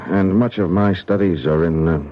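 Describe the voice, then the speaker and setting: A man's voice: low-pitched, fairly even intonation, moderate pace, slight background noise, natural voice. A man playing an earnest college teacher in a 1970s radio drama. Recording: muffled old radio broadcast.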